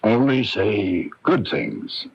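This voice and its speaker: A shrewd police lieutenant in a radio mystery, with a low, husky voice. He questions in a casual, offhand way that swoops up and down, on a dull old broadcast recording. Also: huge pitch swings, slow pace, heavily acted. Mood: casual, probing. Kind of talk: 1980s radio mystery acting.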